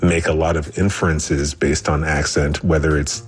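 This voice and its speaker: Extremely deep-voiced man's message. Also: flat delivery, normal speed, soft background music. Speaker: listener sending a voice message.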